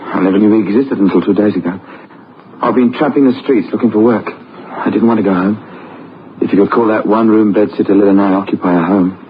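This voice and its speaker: A man's voice: lowish voice, fast talker, lightly acted. An actor playing a desperate, guilt-ridden young man in a vintage radio drama, earnest, agitated and pleading. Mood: grim, insistent. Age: young adult. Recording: dull, noisy old radio recording.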